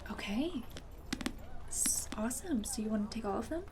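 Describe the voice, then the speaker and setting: A woman doing a soft-spoken ASMR roleplay as a host, breathy and partly whispered, with a gentle, friendly manner. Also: fairly high pitch, animated, moderate pace, background noise.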